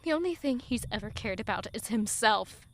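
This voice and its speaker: A woman's voice: a little high, expressive, normal speed. An actress playing a haunted, bitter young woman who narrates her own story in an audio drama with dramatic intensity. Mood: bitter, seething.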